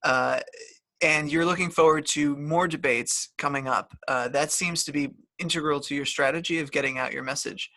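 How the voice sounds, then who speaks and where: A man hosting an interview, asking questions in a halting way with many fillers. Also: a little high, fairly even intonation, pushed and bright. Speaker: interviewer, host. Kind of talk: remote interview.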